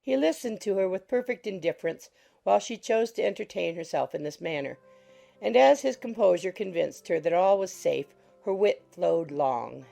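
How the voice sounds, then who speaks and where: A woman narrates an audiobook from a script. Her voice is mellow and fairly low, with a creaky edge. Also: lively intonation, normal speed, soft background music.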